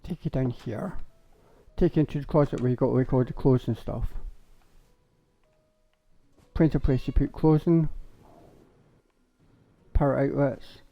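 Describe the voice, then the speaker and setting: A young man with a sore, hoarse throat, rambling casually and laughing as he shows off a house in a game. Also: medium pitch, unhurried, long pauses. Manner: rambling, chatty, laughs a lot, says basically often. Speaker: gaming streamer. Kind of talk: casual Minecraft house tour. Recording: dull lo-fi stream audio.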